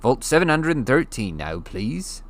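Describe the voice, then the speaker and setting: A man voicing a big, bluff half-giant groundskeeper in a storybook reading, booming and hearty with a rustic way of talking. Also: big pitch swings, a little slow.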